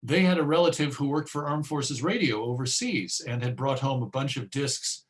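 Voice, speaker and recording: A man presenting an informal online talk and answering questions in a hesitant, musing way, often pausing mid-phrase. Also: mid-range voice. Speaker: presenter and old-time radio collector.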